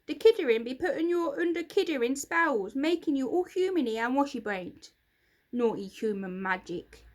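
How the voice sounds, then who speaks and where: A woman voicing Grunt, a grumpy, bossy male creaker creature who barks in broken grammar. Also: sits high, expressive, moderate pace, pitched above the performer's own voice.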